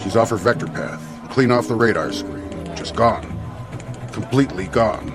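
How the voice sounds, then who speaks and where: A mission control officer for a science fiction radio play. His voice is low and expressive, with a clipped, procedural delivery that stays matter-of-fact under pressure. Music runs clearly behind him. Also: normal speed. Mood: grave, matter-of-fact.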